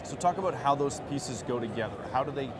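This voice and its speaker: A man's voice: medium pitch, lively intonation, quick pace. A man in his thirties hosting an on-location interview at a busy trade show booth. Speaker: interviewer, host. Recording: very loud trade show floor noise.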